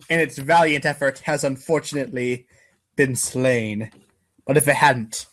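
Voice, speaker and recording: Male comic narrator, slightly high register, theatrical and mock-grave, reading script commands. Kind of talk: comedic readers' theater narration.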